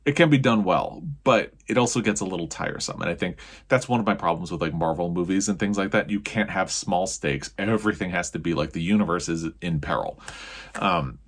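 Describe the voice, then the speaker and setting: A man's voice: sits low, a little fast, breathy edge. A man giving a casual, chatty book review as a video host, leaning on key words and adding self-qualifying asides.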